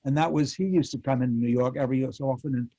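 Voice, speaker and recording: Older guy telling old stories, rambly and halting, soft mellow voice, dull call audio. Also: medium pitch, breathy edge. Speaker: panel guest, former child radio actor. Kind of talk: casual reminiscing. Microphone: video call. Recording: muffled lo-fi online call.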